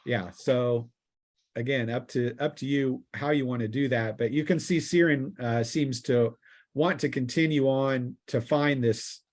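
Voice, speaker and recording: A man with a medium-pitched voice acts as game master for a tabletop session. He narrates the story and sorts out rules in a casual, explanatory manner, feeling his way through sentences with a halting delivery. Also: a little mellow.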